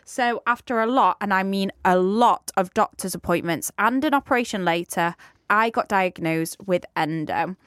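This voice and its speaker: A British woman in her thirties, co-hosting a podcast with blunt, teasing chat. She reads out listener messages in a mid-pitched voice. The recording is dry and close. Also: normal speed, a bit bright, no room sound. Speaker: podcast co-host.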